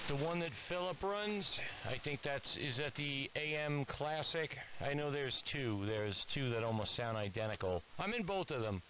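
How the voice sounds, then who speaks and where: A man's voice: medium pitch, lively intonation, normal speed, few pauses. A man chatting casually and easily as an amateur radio operator over an AM radio transmission. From Long Island, New York. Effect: AM shortwave radio transmission. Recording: hissy, noisy AM ham radio signal.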